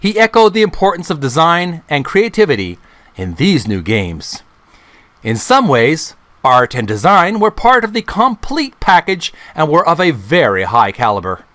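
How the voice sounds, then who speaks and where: A middle-aged man hosting a channel, reading a book aloud in a deliberate, halting way, phrase by phrase. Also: fairly high pitch, huge pitch swings, a bit bright, no room sound. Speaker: video channel host.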